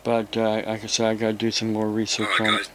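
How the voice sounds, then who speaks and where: An American man hosting an informal, unscripted hobby vlog, talking in a halting, rambling way with long pauses. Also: fairly low pitch, completely flat delivery, very noisy. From United States. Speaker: YouTube radio hobby host.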